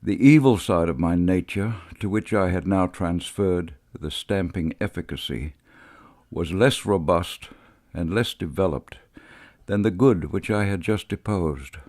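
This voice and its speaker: A man voicing a brooding Victorian gentleman doctor in an audiobook, confessing with formal, deliberate reflection. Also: sits low. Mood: grave, confessional.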